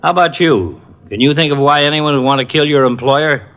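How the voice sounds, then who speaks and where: An actor playing a blunt, jumpy, overworked police homicide lieutenant in an old radio detective drama, speaking in clipped phrases. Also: male voice, a little high, fairly quick, natural voice. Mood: brisk, official. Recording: muffled old radio recording, music behind.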